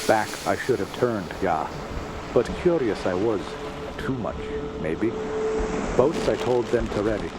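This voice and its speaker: A weary sea captain in a radio drama. His low voice is grim and halting, with oddly inverted phrasing. Loud water and boat sounds surge behind him. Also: animated, heavy background noise. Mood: haunted, regretful. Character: haunted, weary Scandinavian sea captain recounting a dreadful voyage.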